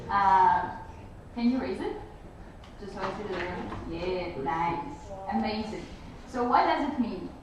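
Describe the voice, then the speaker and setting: A woman from Germany chairs an assembly with an informal, upbeat manner. Her medium-pitched voice rings heavily around a large room and is picked up from far off, with clear noise around it. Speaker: main chair of a student assembly. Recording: very echoey hall, distant, clear noise.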